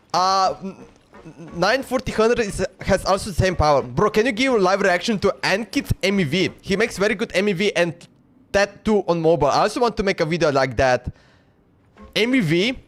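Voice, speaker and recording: A man's voice: high voice, a bit bright, a little hiss or hum. A man streaming a game with casual, playful live commentary, often repeating his phrases.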